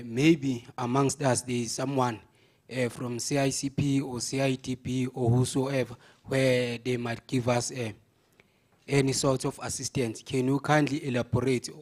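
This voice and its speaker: A man asking a formal question from the floor, with many pauses. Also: medium pitch, a little mellow. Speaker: conference delegate.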